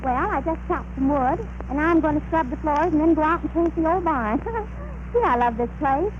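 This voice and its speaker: A woman's voice: sits high, expressive, moderate pace. An actress playing a cheerful, naive teenage farm girl in an old radio comedy, earnest and homespun. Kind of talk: 1930s radio comedy acting. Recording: noisy muffled old radio recording.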